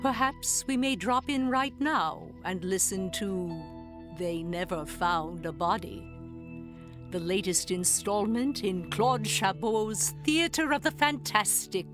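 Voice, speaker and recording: A woman's voice: medium pitch, slow pace, music in the background. A woman acting as host and announcer of an audio drama, delivering a scripted, formal theatrical introduction.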